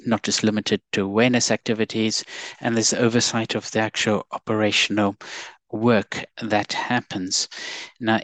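South African male guest expert, measured and methodical, low monotone, dry close mic. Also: no echo. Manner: measured, restarts words, lists points. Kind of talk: podcast interview.

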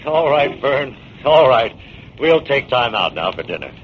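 A man's voice: medium pitch, big pitch swings, a little slow, a crowd in the background. A man playing a firm, earnest scientist crime-fighter with an authoritative manner in a 1940s radio drama. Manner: authoritative, explanatory. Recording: muffled, noisy 1940s radio recording.

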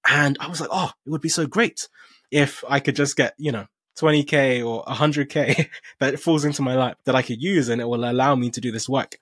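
A man's voice: medium pitch, fairly quick, a bit bright, husky, dry recording, studio quality. A man hosting a conversational interview, speaking in an affirming way and relating points to his own experience. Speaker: podcast host.

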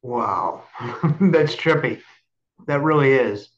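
A man hosting a casual interview, speaking haltingly and repeating words as he searches for how to put things. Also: mid-range voice, animated, highs cut off. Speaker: podcast host interviewing a guest.

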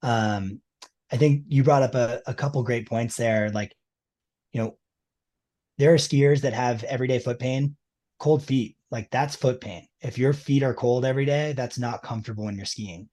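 A man's voice: mid-range voice, moderate pace, dark tone. Enthusiastic man explaining ski liners. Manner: enthusiastic, explanatory, many fillers. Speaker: ski boot liner maker representative. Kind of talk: casual interview chat.

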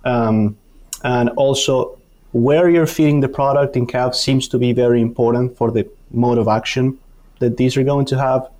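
A man with an Argentine accent, a native Spanish speaker, answering interview questions as an academic expert in a halting, explanatory way. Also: mid-range voice, steady tone, mellow. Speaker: guest, university dairy researcher.

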